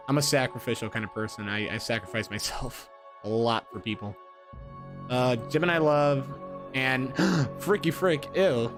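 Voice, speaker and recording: A man in his twenties talks at a medium pitch, reading aloud and breaking off to comment. He rambles with lots of fillers and repeated words, often joking at his own expense. Music plays clearly beneath his voice. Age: 20s. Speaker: gaming streamer. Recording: music playing underneath.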